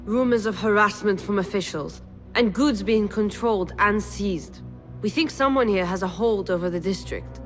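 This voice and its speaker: An actress playing a blunt, sharp-tongued woman assassin in a game, with a scornful edge. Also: medium pitch, normal speed, faint music behind, natural voice.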